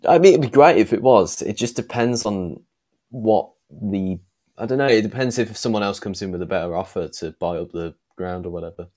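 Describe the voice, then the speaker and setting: A man's voice: lowish voice, brisk, a little mellow. A man co-hosting a casual fan podcast over a video call, thinking aloud with plenty of ums. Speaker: podcast co-host. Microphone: video call with earphones.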